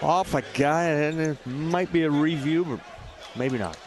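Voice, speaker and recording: A man's voice: a little high, a little slow. A man giving color commentary as an analyst on a live basketball radio broadcast. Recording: loud arena noise behind courtside commentary. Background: ball bounces and gym ambience.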